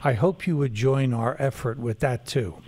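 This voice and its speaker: A man hosts a talk broadcast and earnestly pitches a community initiative to his guest. He speaks at a mid pitch and fills his speech with small pauses and fillers. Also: darkish tone. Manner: earnest, promotional, many fillers. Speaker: radio talk show host. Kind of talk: talk show hosting.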